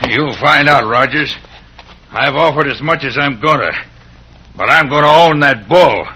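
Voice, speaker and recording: An actor playing a scheming, bullying rancher villain in an old-time western radio drama, blustering and threatening. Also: male voice, mid-range voice, unhurried, very noisy, natural voice.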